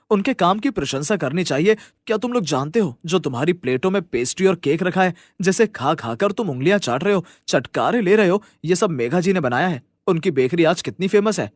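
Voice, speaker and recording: A protective young man in a moral story, acted by a man. He scolds earnestly, with animated intonation and a fairly high male pitch. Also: no room sound, clean studio sound. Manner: reproachful, earnest.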